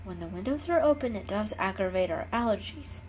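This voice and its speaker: A woman's voice: mid-range voice, expressive, fairly quick, a little hiss or hum, close to an everyday voice. A woman voicing a determined, caring female cat in a storybook reading, earnest and coaxing. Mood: worried, insistent.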